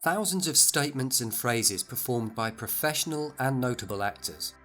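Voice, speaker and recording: A man's voice: mid-range voice, fairly bright tone. A man narrating a scripted product overview in an enthusiastic, explanatory way.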